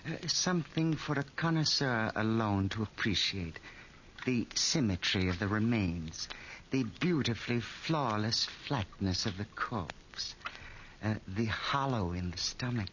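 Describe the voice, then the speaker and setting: A man's voice: lowish voice, expressive, unhurried, fairly bright tone. An actor playing a sinister fat man, a pompous connoisseur of murder who talks hesitantly and with relish, in 1940s radio style. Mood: morbid relish. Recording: muffled, hissy 1940s radio recording.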